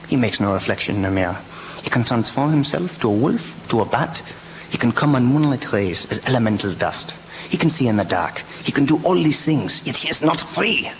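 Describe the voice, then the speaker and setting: Radio drama: commanding older-world professor and vampire hunter, male actor, low register, solemn speeches, stilted phrasing, noisy tape. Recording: noisy amateur local radio recording.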